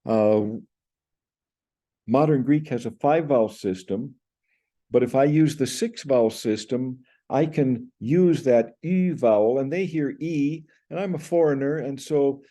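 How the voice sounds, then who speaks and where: A man's voice: on the high side, measured pace, dark tone. An older man teaching Greek pronunciation in an explanatory lecture, speaking to a webcam indoors. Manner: explanatory, gestures with hands, recites Greek sounds.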